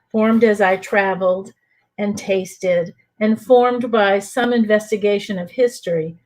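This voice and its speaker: An older woman from New Orleans reads a passage from her own book. Her delivery is measured and deliberate, with a mid-range pitch. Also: a little mellow. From New Orleans, USA. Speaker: author reading her book. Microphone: home computer mic for an online reading.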